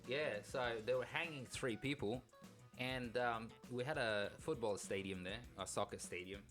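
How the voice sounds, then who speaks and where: A man's voice: medium pitch, normal speed, fairly bright tone. Informal man from Afghanistan, music behind him. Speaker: podcast co-host. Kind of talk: casual personal storytelling.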